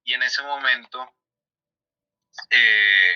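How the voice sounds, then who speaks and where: A man answering conversationally in an interview, halting and repeating words as he searches. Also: mid-range voice, dull audio. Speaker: theatre performer being interviewed.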